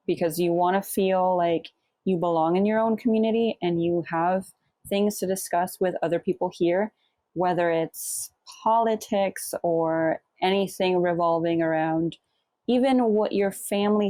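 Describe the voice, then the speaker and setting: A woman's voice: fairly low pitch, steady tone, unhurried, mellow, no echo. A young woman from Puerto Rico, an author guest, talking reflectively in a casual interview and sometimes searching for words.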